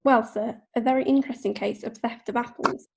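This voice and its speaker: A woman's voice: on the high side, fairly even intonation, a little fast, gravelly, husky, low-quality recording. A woman playing a witty court clerk. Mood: formal. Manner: dry, punning formal delivery. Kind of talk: radio drama acting.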